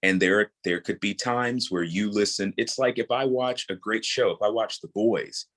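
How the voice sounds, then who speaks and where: A man's voice: fairly low pitch, normal speed, fairly bright tone. A man in his thirties speaking as an interview guest, explaining his creative work earnestly in a conversational way. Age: 30s. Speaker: interview guest, audio drama creator and voice actor.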